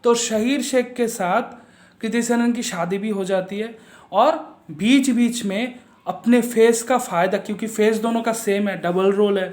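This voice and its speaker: A man's voice: high-pitched, a little mellow, reverberant. A man giving a casual spoken film review, blunt and mocking, repeating himself for emphasis.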